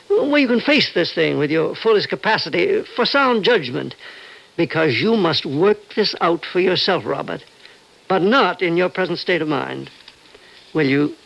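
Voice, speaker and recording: A concerned doctor in a vintage radio play, fairly high-voiced with a gravelly creak. He reasons in an earnest, measured, halting way. The recording is dull and old. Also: fairly bright tone, heavily acted. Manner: earnest, hesitant, measured persuasion. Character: concerned, reasoning older male doctor and confidant. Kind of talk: old-time radio drama acting.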